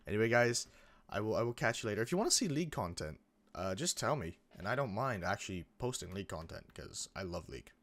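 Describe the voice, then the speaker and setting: A young man hosting a gaming channel, chatting casually over gameplay in a rambling way with lots of restarts, his voice a bit croaky from coming down with a cold. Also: fairly low pitch, big pitch swings, a little fast, a bit bright. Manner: rambling, many restarts and fillers. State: getting sick, a bit croaky.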